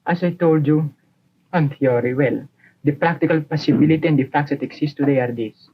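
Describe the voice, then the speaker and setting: A man's voice: fairly high pitch, a little fast, a little hiss or hum. A teenage boy from the Philippines, a student debater arguing assertively on a 1950s broadcast. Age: teens. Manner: assertive, argumentative. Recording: muffled 1950s broadcast recording.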